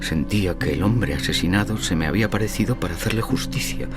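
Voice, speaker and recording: Man acting super low creaky voiced haunted juror telling his scary story, hushed and tense, loud music under. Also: fast, close to an everyday voice. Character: Charles, fearful haunted gentleman juror narrating his ordeal. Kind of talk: dramatic first-person audio drama narration.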